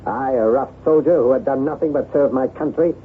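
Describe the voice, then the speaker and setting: A man's voice: mid-range voice, brisk, natural voice. An actor playing a bitter, proud soldier nobleman set on revenge, brusque and brooding, in an old-time radio drama. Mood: bitter, aggrieved. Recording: noisy, tinny old radio broadcast.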